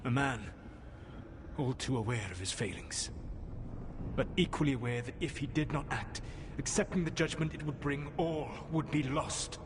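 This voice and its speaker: An actor playing an ancient, proud and burdened elven man in a video game, speaking in a grave, measured, formal way. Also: medium pitch, background noise, close to an everyday voice. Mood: defiant, burdened. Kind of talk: video game cinematic acting.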